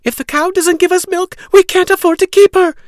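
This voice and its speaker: A man's voice: extremely high pitch, steady tone, fairly quick, no echo, clean studio sound, pitched above the performer's own voice. A man voicing a poor widowed mother, fretful and scolding, in an insistent, exclaiming way. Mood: exasperated.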